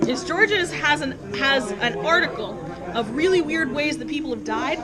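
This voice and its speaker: Loud, profane young woman ranting. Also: high voice, expressive, normal speed, a loud crowd. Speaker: host. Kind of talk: casual chat, comic reacting.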